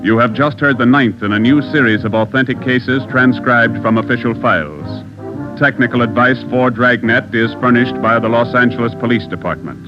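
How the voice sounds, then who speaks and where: A man's voice: mid-range voice, normal speed, music in the background. Formal male radio announcer. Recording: dull old radio broadcast.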